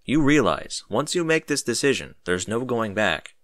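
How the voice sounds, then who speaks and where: A man's voice: mid-range voice, big pitch swings, normal speed, a bit bright, dry recording, clean studio sound. A man voicing a cool, sly, proud army captain in a story, speaking in a matter-of-fact way. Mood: cool, pressing.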